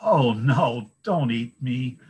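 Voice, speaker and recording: The middle billy goat from a storybook, bleating and begging to be let past, as a man puts him on. His voice is only a little above a plain reading voice and has a husky edge. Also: medium pitch, lively intonation, slow pace, a little mellow, full character voice.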